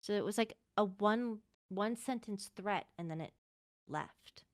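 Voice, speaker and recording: A woman playing a hesitant member of a fantasy court in an improvised game roleplay, speaking in a halting, tentative way. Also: mid-range voice, no room sound, studio quality, close to an everyday voice. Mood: uneasy, tentative. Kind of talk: improvised Minecraft roleplay in character.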